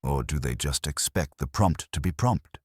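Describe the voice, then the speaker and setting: A man plays a young private detective in a mystery audiobook. The voice is extremely low and the delivery brisk and wry, full of dry wisecracks. The recording is close and dry. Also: expressive, slightly rough, dry recording, exaggerated voice. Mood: wry, probing.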